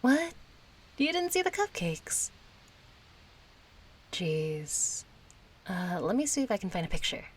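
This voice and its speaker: A woman's voice: medium pitch, sing-song, slow, long pauses, breathy edge, exaggerated voice. A woman acting a kind, chatty young friend in an intimate audio roleplay, casual, playful and a little hesitant. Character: kind, chatty young female friend, gently yearning for closeness.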